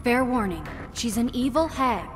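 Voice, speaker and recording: An anxious young woman played by an actress in a video game, with a high, earnest, pleading voice. Music plays clearly behind the lines. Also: lively intonation, normal speed, natural voice. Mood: wary. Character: anxious young woman possessed by an evil witch. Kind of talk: video game character acting.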